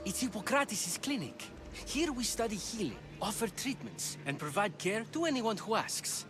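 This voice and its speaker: A man's voice: high-pitched, very animated, moderate pace, music in the background. A man playing a nervous, earnest apprentice physician in a video game, flustered and eager to please. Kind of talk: video game cutscene dialogue.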